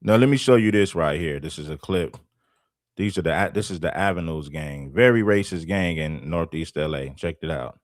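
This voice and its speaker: A man's voice: very deep voice, normal speed, no room sound, clean studio sound. A man hosting a livestream, commenting bluntly and arguing his point to viewers. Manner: blunt, argumentative. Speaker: host.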